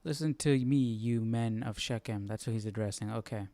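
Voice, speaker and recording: Casual, inquisitive man with a clear voice. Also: fairly low pitch, dark tone, no echo. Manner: inquisitive, halting, mutters questions to himself. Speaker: host of a live Bible study. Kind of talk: casual thinking aloud while reading and studying.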